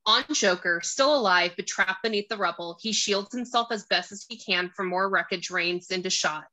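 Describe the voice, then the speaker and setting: A woman's voice: mid-range voice, normal speed, bright, forceful tone, rough recording. A woman narrating a screenplay, reading out stage directions and scene descriptions.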